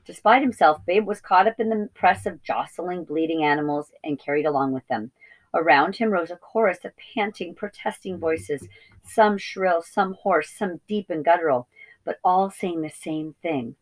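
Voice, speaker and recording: A woman reading a children's chapter book aloud in an expressive teacher's storytelling style. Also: fairly low pitch, normal speed, poor audio.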